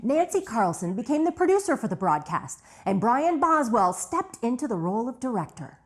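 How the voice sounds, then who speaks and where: A woman's voice: on the high side, sing-song, moderate pace. A woman reading scripted narration for a television documentary.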